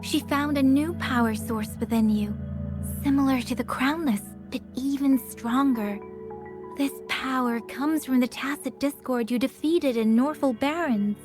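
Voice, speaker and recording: A high-pitched, helpful young woman from a video game. She explains things patiently and in measured phrases, with soft music playing underneath. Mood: patient, explaining.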